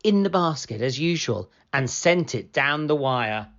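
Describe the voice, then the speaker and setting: A woman reads a picture book aloud for children. Her low voice moves slowly and with plenty of melody. The recording is a little dull and lo-fi. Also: animated. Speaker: storybook reader. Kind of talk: read-aloud children's story narration.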